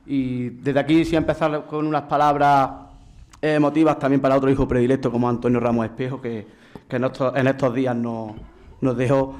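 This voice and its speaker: Man, hesitant, formal thanks, medium pitch. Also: level tone, brisk, a little mellow. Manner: hesitant, many fillers. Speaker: municipal councillor. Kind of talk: brief formal thanks at a public event.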